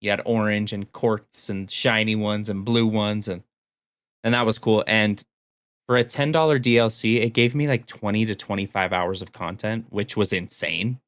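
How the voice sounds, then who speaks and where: Lower-voiced man in casual podcast chat. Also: steady tone, normal speed, fairly bright tone, no room sound. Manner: informal, conversational. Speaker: podcast co-host.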